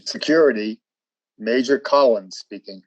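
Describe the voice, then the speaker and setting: An actor playing a firm, procedural military security officer in a radio drama, formal, brisk and husky. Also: male voice, mid-range voice, lo-fi sound, lightly acted. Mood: guarded, official.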